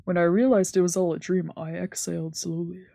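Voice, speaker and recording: A woman streamer reading a visual novel aloud in expressive, dramatic narration with casual asides. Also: lowish voice, a little fast, creaky, no echo. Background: game background music.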